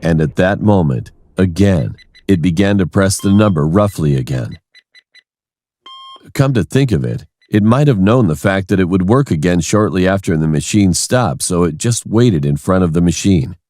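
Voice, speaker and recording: A man's voice: deep voice, animated, moderate pace, warm tone. A man reading a scripted first-person horror story as its narrator.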